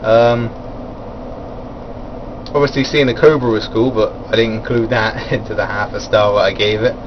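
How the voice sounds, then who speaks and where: A man's voice: fairly low pitch, fairly even intonation, normal speed, muffled recording, noisy background. A man giving a casual, rambling spoken review of a wrestling event, full of fillers and chuckling at his own asides.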